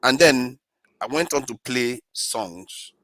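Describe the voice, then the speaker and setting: A man giving an argumentative rebuttal in a debate, deliberate and insistent, making his case point by point. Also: medium pitch, expressive, bright, forceful tone, dry recording.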